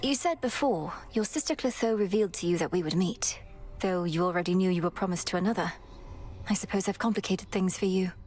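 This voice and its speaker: An actress playing a poised young goddess princess in a video game, formal and composed, earnest and guardedly fond. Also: female voice, fairly low pitch, a little fast, darkish tone, soft background music, close to an everyday voice. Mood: thoughtful, guarded. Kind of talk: scripted video game romance dialogue.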